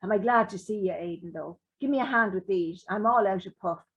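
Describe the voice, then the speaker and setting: A woman's voice: medium pitch, fairly quick, fairly bright tone, slightly rough, dull audio. A woman voicing a chirpy, cheeky old grandmother who coaxes and teases her grandson. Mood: coaxing, teasing. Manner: coaxing, teasing.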